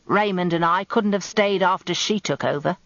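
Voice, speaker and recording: A woman's voice: lowish voice, normal speed, a bit bright, no room sound. A woman voicing a blunt, resentful working-class English housekeeper who is heavy and gasps as she grumbles, in a radio drama. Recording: dull lo-fi radio recording.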